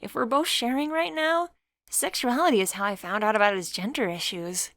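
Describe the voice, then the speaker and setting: A woman voicing a chatty, curious young woman, pitched up. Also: a little fast, a bit bright, no echo, clean studio sound. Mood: confiding. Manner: chatty, upbeat, probing. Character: friendly, curious, forthright young woman, supportive best friend.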